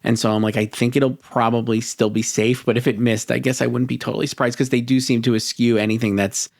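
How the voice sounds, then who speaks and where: A man's voice: mid-range voice, flat delivery, gravelly, darkish tone. Tentative man chatting as co-host. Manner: hedging, tentative, halting conversational delivery. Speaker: podcast co-host. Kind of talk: casual film awards discussion.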